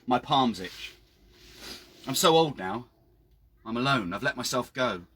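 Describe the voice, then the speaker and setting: A man reading his own story dramatically outdoors, with measured, hushed and suspenseful delivery. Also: mid-range voice, animated, a little hiss or hum. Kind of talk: dramatic scripted story narration. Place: outdoors by a town well.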